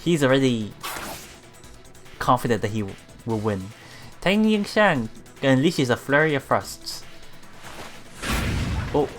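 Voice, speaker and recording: A streamer reading visual novel text aloud in a halting way, adding casual commentary over game music. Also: medium pitch, expressive. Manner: halting reading, often repeating broken words. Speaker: let's player streamer.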